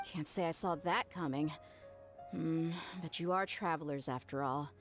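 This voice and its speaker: A woman's voice: deep voice, normal speed, soft background music, lightly acted. A woman playing a confident, easygoing, teasing young mercenary in a video game.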